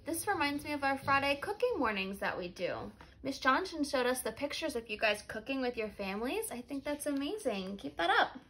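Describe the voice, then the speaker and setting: A woman teacher with a high, very animated voice reads a children's story aloud. She keeps her phrasing simple and speaks straight to her young listeners, checking in with questions. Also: a little fast, few pauses, a little hiss or hum, low-quality recording. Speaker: life skills teacher.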